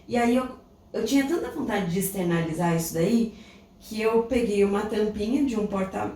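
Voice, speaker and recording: A woman's voice: mid-range voice, normal speed, mellow. A Brazilian woman co-hosting a podcast, telling a personal story in a reflective, casual way. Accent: Brazilian Portuguese. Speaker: podcast co-host. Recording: echoey room, distant mic, faint noise.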